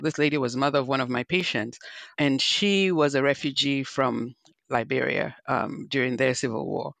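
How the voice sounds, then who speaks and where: A woman's voice: extremely low pitch, normal speed, gravelly, fairly bright tone, dry recording. A woman with a Nigerian accent speaks as an interview guest. She tells her story in a reflective, emphatic way, addressing the listener directly. The recording is dry, with very little room sound. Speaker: guest, physician and health executive.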